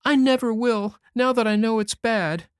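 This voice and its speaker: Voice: very animated, moderate pace, dry recording, studio quality, natural voice. A reader voicing a mischievous six-year-old boy who pleads and questions eagerly, in an audiobook. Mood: sobbing pleas. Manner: high, eager childish pleading. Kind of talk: audiobook character voice.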